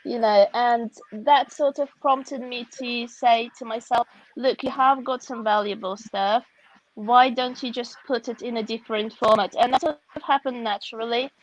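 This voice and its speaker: A woman's voice: on the high side, steady tone, moderate pace, dull audio. A woman from Russia with a Russian accent, a guest author speaking candidly and reflectively, often laughing, over a video call.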